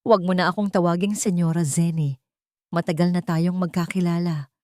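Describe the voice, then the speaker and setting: Woman as elderly matriarch, frail, gentle, affectionate, audio story, dry sound. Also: on the low side, steady tone, a little fast, no room sound, lightly acted. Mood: warm, fond.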